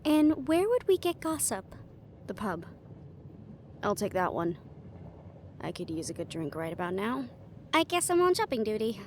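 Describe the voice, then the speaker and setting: A woman's voice: medium pitch, very animated, moderate pace, long pauses, a little hiss or hum, lightly acted. A woman playing a witty, confident young female adventurer in a playful, conversational audio roleplay. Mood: planning, brisk. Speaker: amateur voice actor. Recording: music behind the voice.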